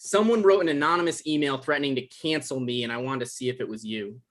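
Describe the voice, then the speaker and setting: A man acting an evasive, awkward novelist in a comedy-drama table read, halting and defensive, with stammers. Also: medium pitch, fairly bright tone, natural voice. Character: Michael, evasive, awkward novelist visiting his ex.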